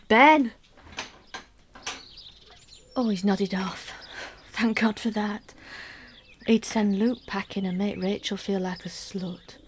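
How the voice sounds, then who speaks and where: A woman acting a romantic, wry, middle-aged mother who confides her private yearnings in an intimate radio drama monologue. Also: on the high side. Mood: startled, protective. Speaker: actress in a radio play monologue.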